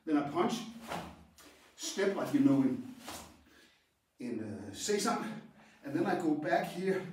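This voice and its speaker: A man's voice: fairly high pitch, very animated, darkish tone, slight background noise. Man in an echoing dojo. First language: Danish. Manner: halting and plain, with pauses as he moves between stances. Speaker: karate instructor. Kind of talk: talking through a stance demonstration. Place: his own karate dojo, large room, indoors. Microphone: camera some distance away while he moves. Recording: very echoey hall, voice far from the mic.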